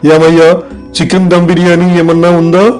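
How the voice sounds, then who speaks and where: A man playing a direct, plain-spoken village man in an animated moral story. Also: on the high side, moderate pace, faint music behind, lightly acted. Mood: demanding. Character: plain-spoken village men, eatery customers and boss.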